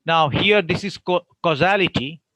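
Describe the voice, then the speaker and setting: A man giving an online academic lecture, explaining with deliberate pauses between phrases. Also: on the high side, bright, forceful tone, no room sound, rough recording.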